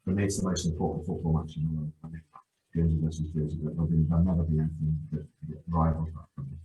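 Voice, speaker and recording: A middle-aged man with a very low voice speaks as a guest on a casual podcast. He is enthusiastic and keeps repeating and restarting his words. His voice comes across with a noticeable room echo. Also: level tone, racing, phone-quality sound. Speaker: guest, youth football coach. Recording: echoey room.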